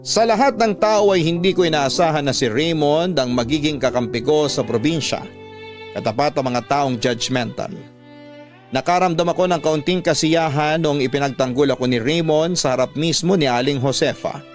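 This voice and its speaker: Radio drama narrator: man, slightly high pitch, scripted first-person storytelling, faint music bed. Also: normal speed. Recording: background music under narration.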